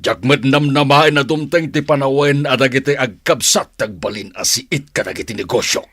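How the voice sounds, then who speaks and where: Husky, anxious Filipino man acted out. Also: mid-range voice, moderate pace, fairly bright tone, no room sound, clean studio sound, lightly acted. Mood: earnest. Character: anxious husband and scheming wealthy businessman. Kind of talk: Filipino radio drama acting.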